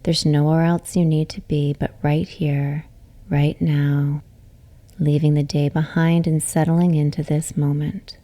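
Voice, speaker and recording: Slow, low-voiced woman guiding relaxation. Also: little pitch movement. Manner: soothing, gentle, unhurried. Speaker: yoga nidra teacher. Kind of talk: guided relaxation meditation.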